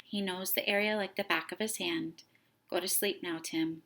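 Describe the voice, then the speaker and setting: A woman's voice: fairly low pitch, steady tone, normal speed, a bit bright. A woman voicing a worried, caring father in a children's story, with urgent calls and firm explanations. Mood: reassuring.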